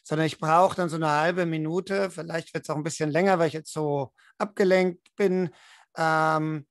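A man's voice: fairly high pitch, fairly even intonation, normal speed, no room sound, clean studio sound. A man leading a tabletop role-playing game as game master, explaining and narrating. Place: indoor room at home, online video call.

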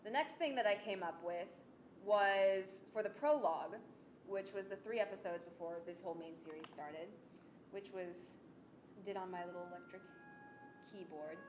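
A young adult woman giving a casual presentation, chatty, animated and self-deprecating. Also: medium pitch, moderate pace, a little hiss or hum. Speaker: student presenter of an audio drama project. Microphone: desktop microphone on the table. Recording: roomy, echoey sound with music behind.

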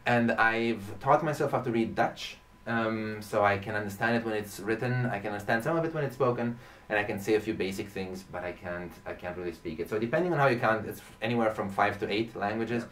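A man's voice: on the low side, brisk, fairly bright tone. A young man from Romania, a native Romanian speaker, chatting casually and talking at length in an interview. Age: young adult. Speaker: podcast guest, classical guitarist. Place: small indoor room with curtain and shelves. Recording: distant microphone in a room.

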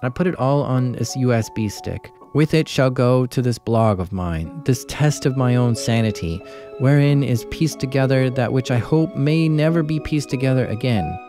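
Male narrator, mid-pitched voice, grave ominous delivery, scripted horror storytelling, clear music behind. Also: moderate pace.

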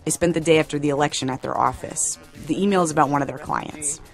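A woman reporter narrating a radio documentary story in a measured, plain-spoken way. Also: low-pitched, soft background music.

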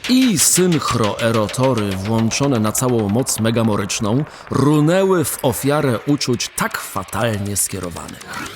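A man narrating a radio play in an expressive, animated storytelling way. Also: medium pitch, measured pace. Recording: faint background music.

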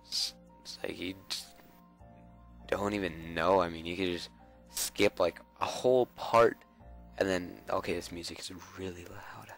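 Hoarse guy with a cold, deep gravelly voice, slow mumbly game commentary. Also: fairly even intonation, a bit bright, soft background music. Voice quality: raspy, scratchy. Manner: mumbling, self-talk with frequent fillers and repeats. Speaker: gameplay video creator. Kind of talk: casual gameplay commentary.

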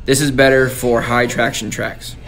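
A young man giving a clear, steady, scripted product tutorial as a voice-over. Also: mid-range voice, moderate pace, noisy background. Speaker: product demonstrator.

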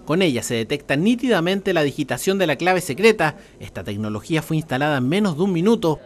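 A man's voice: on the high side, big pitch swings, fast. A man with a Chilean accent narrating a scripted television news report.

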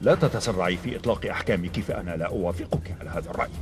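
An actor playing a level-headed, commanding leader of heroes in a dubbed animated action series, formal and authoritative. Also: male voice, low-pitched, racing, music in the background, close to an everyday voice.

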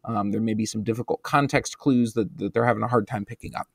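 A man with an American accent narrating a screen-recorded software tutorial for teachers, relaxed and explanatory, with frequent ums and uhs. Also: lowish voice, quick pace, gravelly, no room sound, studio quality. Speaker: instructional technology trainer.

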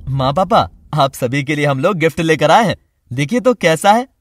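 A man voicing a playful, reassuring young husband in an animated family drama. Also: on the high side, moderate pace, dry recording, clean studio sound. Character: affectionate young husband, easygoing son.

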